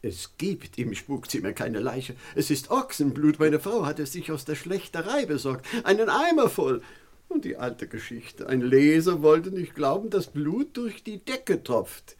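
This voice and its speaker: A genteel professor and master of the house, easily exasperated and theatrical. His intonation is very lively and swoops about in old-style radio comedy manner. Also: fairly high pitch, a little slow. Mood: wry, weary. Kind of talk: German comic radio crime play acting.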